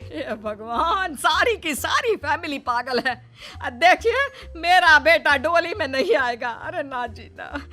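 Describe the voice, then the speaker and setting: A woman voicing an exasperated middle-aged mother-in-law in a melodramatic comic story, wailing indignantly with drawn-out exclamations. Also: high-pitched, lively intonation, bright, forceful tone, soft background music, heavily acted.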